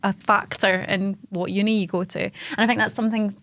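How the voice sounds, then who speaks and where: A woman's voice: mid-range voice, little pitch movement, fairly quick, fairly bright tone, dry recording. Woman, podcast co-host, chatty, rambling, lots of likes, dry close mic. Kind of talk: casual interview chat.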